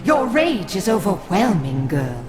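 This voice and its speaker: A woman's voice: medium pitch, sing-song, unhurried. An actress playing a cruel, scheming witch who sneers and hurls commanding threats. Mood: scornful. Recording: echoey with loud game music.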